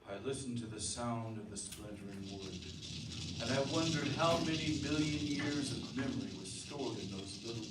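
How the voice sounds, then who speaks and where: Grim, weary male survivor played by an actor, radio play narration, measured and dramatic, heard off-mic over clear noise. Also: mid-range voice, lightly acted. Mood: bleak, reflective. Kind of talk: old-time radio drama acting, first-person narration. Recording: echoey distant stage recording, clear background noise.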